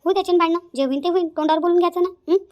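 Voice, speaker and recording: A woman acts out an outspoken young wife in a cartoon family tale. Her high voice races along with a Varhadi accent, insistent and ready to argue. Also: steady tone, racing, highs cut off. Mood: defiant. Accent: rural Maharashtra, Varhadi. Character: blunt, headstrong young daughter-in-law. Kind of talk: cartoon family drama acting.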